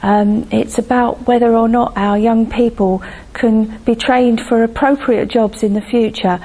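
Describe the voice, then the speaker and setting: A woman from a church congregation speaks hesitantly, with frequent ums as she gathers her thoughts. Her voice has a mellow, warm tone. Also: fairly high pitch, steady tone, measured pace. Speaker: church member. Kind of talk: prepared statement for a video letter.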